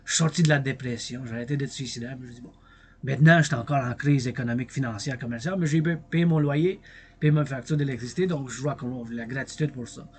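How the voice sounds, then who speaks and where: A man's voice: mid-range voice, fast, darkish tone, slight background noise. A man from Quebec with a Québécois accent, rambling on a livestream in French and English, repeating himself and breaking into song. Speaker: vlogger. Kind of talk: bilingual rambling livestream monologue.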